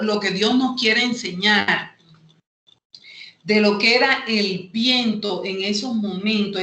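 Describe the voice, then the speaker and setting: A woman with a Colombian Caribbean coast accent teaching an online Bible lesson, explaining haltingly and repeating phrases as she goes. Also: mid-range voice, a bit bright. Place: indoor room, online class. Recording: echoey room, online call audio.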